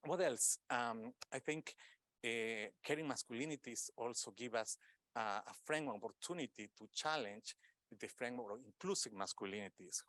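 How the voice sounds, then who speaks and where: A middle-aged man from Peru, speaking as an academic on a conference panel, unscripted and halting, often searching for words between fillers. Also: medium pitch, a bit bright, dry recording.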